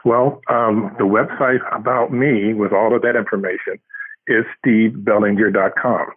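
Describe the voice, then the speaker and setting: A man's voice: lowish voice, fairly even intonation, normal speed, breathy edge, dry recording. A man chatting casually as an interview guest over a tinny, phone-like connection. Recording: tinny narrow phone-like line.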